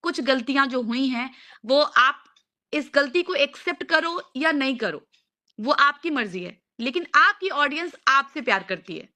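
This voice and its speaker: A woman's voice: high voice, lively intonation, moderate pace, sharp tone. A young woman speaking in an earnest, insistent, repetitive way, as a host pleading with someone in a casual live chat.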